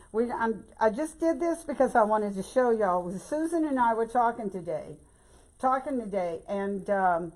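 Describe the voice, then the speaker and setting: An older woman with a hoarse voice and a Louisiana Southern accent, chatting folksily through a bow-making tutorial. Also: mid-range voice, a little mellow, low-quality recording. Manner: chatty and folksy, keeps saying y'all. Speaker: craft tutorial host. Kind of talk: casual bow-making demonstration.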